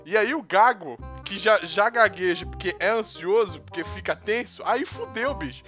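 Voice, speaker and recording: A bright, high-voiced man with a slight stutter tells a story with swooping, lively pitch, slangy and laughing often. Faint music plays behind him. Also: moderate pace. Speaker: salesman, podcast guest. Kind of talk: casual chat among friends.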